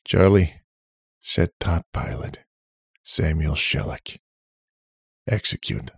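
A man voicing a practical, resigned man in an audiobook, speaking in a plain, deliberate, matter-of-fact way. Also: low-pitched, lively intonation, creaky, breathy edge, no echo, studio quality, exaggerated voice. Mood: commanding. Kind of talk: audiobook character voice.

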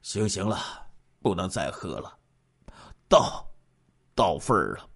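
A man voicing a burdened, emotional male police chief in a story, with emphatic, drawn-out delivery. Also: low voice, very animated, vocal fry, fairly bright tone, breathy edge, pitched below the performer's own voice, heavily acted. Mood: tipsy refusal.

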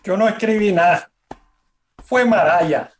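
Adult voicing an eager, slow-spoken young boy. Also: mid-range voice, lightly acted. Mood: defensive. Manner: halting, effortful when reading. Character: eager young boy, earnest pupil struggling to read. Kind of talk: radio drama acting.

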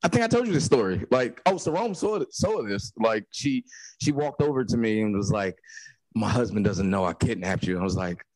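A man from Newark co-hosting a comedy podcast, animated and laughing often. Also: medium pitch, a little fast, rough recording. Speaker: podcast co-host. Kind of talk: casual comedic chat.

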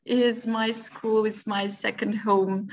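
A middle-aged woman whose first language is Turkish, a teacher answering interview questions warmly. She laughs often and speaks with halting phrasing and many fillers. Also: medium pitch, little pitch movement, rough recording. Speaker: teacher and podcast guest.

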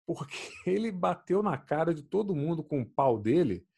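A man swears his way through a casual podcast chat, his voice swinging widely up and down. The tone is husky and a little rough. He tells stories with lively energy. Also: on the high side, moderate pace. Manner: animated, swearing, storytelling.

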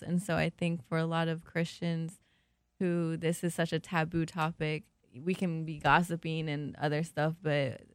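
A woman's voice: deep voice, no echo. A woman from Peru, a podcast guest, speaking openly and earnestly about her own experience, thinking aloud with frequent fillers. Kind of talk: candid personal discussion.

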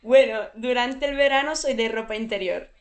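A woman's voice: a little high, normal speed. A woman answering personal interview questions shyly, giggling often. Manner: giggly, shy, laughs often. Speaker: interview guest.

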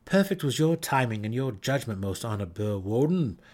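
A man voicing a hearty deep gnome miner with an ornate, formal way of speaking in a fantasy storybook. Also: very animated, brisk, darkish tone. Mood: amused, admiring.

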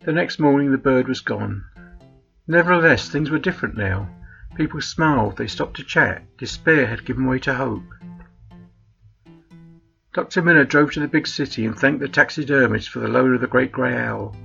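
Gravelly male storyteller, slightly muffled. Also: mid-range voice, normal speed, fairly bright tone. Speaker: author reading his short story. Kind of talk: scripted story narration. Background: faint plucked guitar music.

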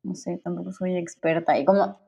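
A young Mexican woman talks casually in a lowish, mellow voice. She rambles, circling back with fillers and restarts and laughing easily. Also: steady tone, fairly quick, creaky, husky. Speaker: guest. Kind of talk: casual conversation.

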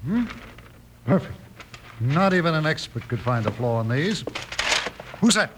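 Sly crook, noisy old recording. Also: male voice, on the high side, big pitch swings, measured pace. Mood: furtive satisfaction. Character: sly, nervous small-built Old West crook. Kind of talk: old-time western radio acting. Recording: noisy vintage radio recording.

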